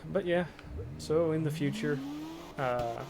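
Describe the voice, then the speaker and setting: Gravelly monotone man streaming at home. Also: slow. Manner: rambling, low-key and self-deprecating. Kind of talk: casual gameplay commentary. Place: home, kept quiet for others in the house. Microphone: cheap microphone. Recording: noisy cheap mic with game sound.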